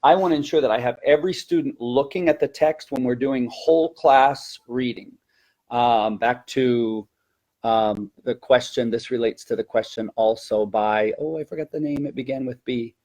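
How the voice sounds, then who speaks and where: A man's voice: mid-range voice, normal speed, a little mellow. A man who teaches elementary Spanish, giving an explanatory teacher-training talk in a hesitant, self-correcting way.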